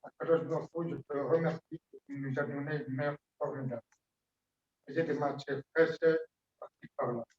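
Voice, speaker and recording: A man's voice: fairly high pitch, fairly even intonation, darkish tone. Hesitant man eulogizing in a roomy hall. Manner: fragmented, hesitant, with slow drawn-out phrases. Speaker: family member giving a eulogy. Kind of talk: funeral eulogy. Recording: echoey hall, distant dull mic.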